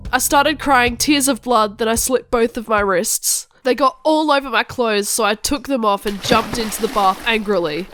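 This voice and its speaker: A woman reads a first-person cartoon story as an angsty goth teenage girl. Her voice sits high and the delivery is melodramatic, with music playing behind it. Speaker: narrator.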